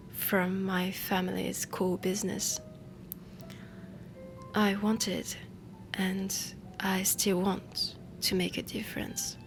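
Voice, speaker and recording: Slow, soft French-accented heiress played by a woman. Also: mid-range voice, vocal fry. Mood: earnest, proud. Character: refined, ambitious heiress inventor, earnest and flirtatious, steampunk. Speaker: roleplay audio performer. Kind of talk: soft intimate audio roleplay. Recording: music under the voice. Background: background music.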